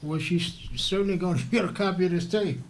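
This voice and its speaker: A soft-spoken man interviewing a guest in casual conversation, often pausing and restarting. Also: sits high, poor audio. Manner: soft-spoken, halting, frequent affirmations.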